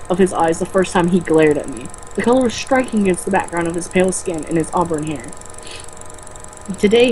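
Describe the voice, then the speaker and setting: A woman's voice: lowish voice, darkish tone, slight background noise, rough recording. A woman reading a first-person novel aloud informally, occasionally stumbling over words and rereading them. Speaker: amateur reader in a comedic read-aloud video.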